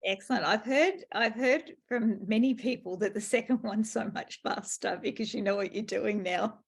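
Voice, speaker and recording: A woman's voice: medium pitch, moderate pace, creaky, husky. A woman hosting a casual book interview, asking questions conversationally. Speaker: podcast host.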